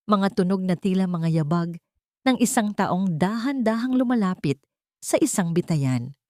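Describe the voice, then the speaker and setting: A woman reading scripted dramatic fiction as a narrator, in a solemn, deliberate way. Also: on the low side, darkish tone, no room sound, studio quality. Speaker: story narrator.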